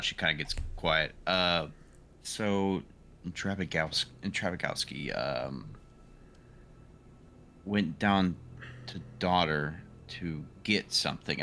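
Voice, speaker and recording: A man speaking casually and haltingly as a player in a tabletop roleplaying game. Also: rumbling low, bright, forceful tone. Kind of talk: casual in-character game chat. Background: music.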